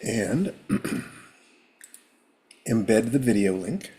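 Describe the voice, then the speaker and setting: A man hosting a live stream from home, talking casually in a rambling way, with a rough, hoarse voice and frequent throat clearing. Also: on the low side, expressive, drawn-out words, warm tone, a little hiss or hum.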